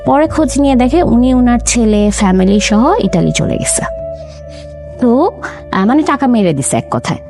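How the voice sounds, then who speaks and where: A woman's voice: a little high, big pitch swings, normal speed. Young woman telling her family story. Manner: earnest storytelling with acted quotes of parents. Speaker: interview guest. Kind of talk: recounting a life story. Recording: music bed under the voice.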